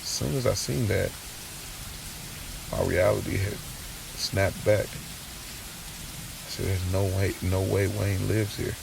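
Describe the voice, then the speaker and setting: A man playing a streetwise young man just out of jail, telling his story conversationally in casual slang, with a gravelly voice, in an audio drama. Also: low voice, steady tone, takes it slowly, pauses often, far from the mic, noisy background, exaggerated voice. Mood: uneasy. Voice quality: gravelly, creaky.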